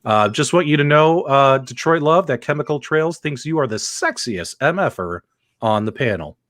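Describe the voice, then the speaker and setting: A man's voice: on the high side, lively intonation, normal speed, a bit bright, no echo. A man hosting a casual live panel show, informal and conversational as he addresses viewers directly. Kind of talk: casual live-stream hosting and chat reading.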